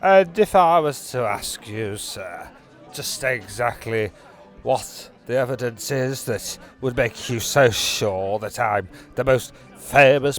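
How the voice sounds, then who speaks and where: A man playing a swaggering, eccentric pirate posing as a farmer in a radio comedy, theatrical and mockingly polite. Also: mid-range voice. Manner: theatrical, drawn-out, mock-polite. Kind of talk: radio comedy drama parody.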